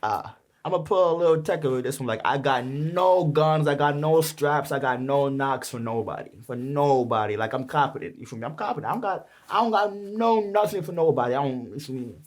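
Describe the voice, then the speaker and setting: A man's voice: fairly high pitch, expressive, fairly quick, few pauses. A young man from Brooklyn with a New York accent, casually talking through the lines of his song in a rambling, slang-heavy way. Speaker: rapper explaining his song. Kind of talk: casual lyric breakdown.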